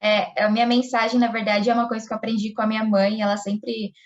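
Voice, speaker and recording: A woman's slightly high voice comes through in a flat, even tone. She speaks plainly and conversationally. The call audio sounds dull and lo-fi. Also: quick pace. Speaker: panelist. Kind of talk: casual panel remarks.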